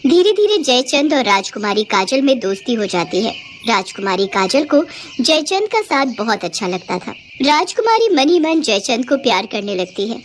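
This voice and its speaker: A female narrator tells a children's moral tale. Her voice is pitched very high. The delivery is scripted storytelling.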